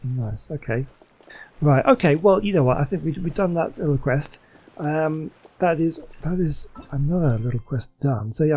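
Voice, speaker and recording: A man's voice: mid-range voice, warm tone, poor audio. A man giving casual gameplay commentary in a chatty, rambling way, as host of a gaming video.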